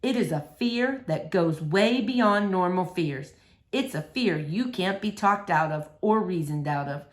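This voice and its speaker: A woman voicing a gentle, thoughtful preacher father who explains things slowly and deliberately in a children's storybook. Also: lowish voice, expressive, echoey room, voice pushed down. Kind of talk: children's storybook read-aloud.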